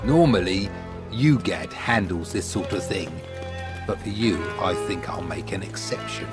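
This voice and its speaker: Low-pitched male villain, smug, mocking, unhurried menace, fantasy audio drama, loud music underneath. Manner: slow, mocking, chuckling menace.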